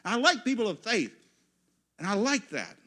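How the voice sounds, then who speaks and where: High-voiced, bright preaching man. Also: very animated, moderate pace. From United States. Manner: storytelling, swings from hushed asides to loud exclamations. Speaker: guest pastor. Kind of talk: sermon. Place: church.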